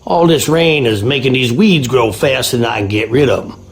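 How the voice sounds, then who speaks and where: Gravelly, guarded Southern groundskeeper played by a man. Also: mid-range voice, moderate pace, slight background noise, natural voice. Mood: guarded, uneasy. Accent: American South. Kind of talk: video game character acting.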